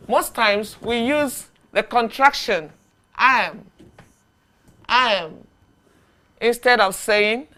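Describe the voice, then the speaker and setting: A woman teaching a beginner English lesson, speaking deliberately and repeating short phrases for learners to echo. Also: medium pitch, bright, forceful tone.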